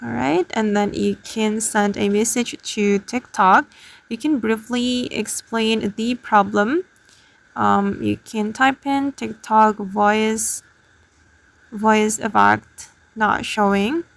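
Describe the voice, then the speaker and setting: A woman's voice: fairly high pitch, slow, vocal fry. A woman hosting a casual tutorial, explaining things step by step to her viewers. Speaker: tutorial host. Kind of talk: casual spoken screen tutorial.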